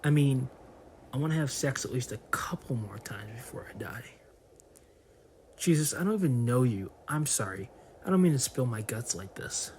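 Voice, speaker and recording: Lonely young man played by a man, average pitch, self-conscious, motion comic drama, faint ambience. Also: lively intonation, normal speed, darkish tone, a little hiss or hum, close to an everyday voice. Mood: glum, confiding. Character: lonely, awkward young man confiding his frustration.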